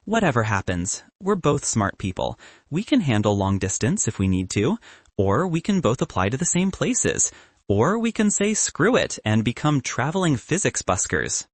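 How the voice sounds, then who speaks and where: Guy doing a quirky young woman scientist, playful and jokey, husky voice that swings around a lot, dry sound. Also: medium pitch, very animated, moderate pace, no room sound. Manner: playful, joking.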